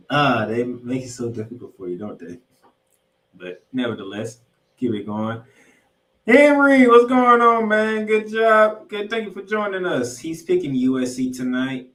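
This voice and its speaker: A man hosting a sports podcast, giving football preview commentary in an announcer-like way. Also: medium pitch. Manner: announcer-like, addresses listeners as ladies and gentlemen. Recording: roomy echo, distant from mic.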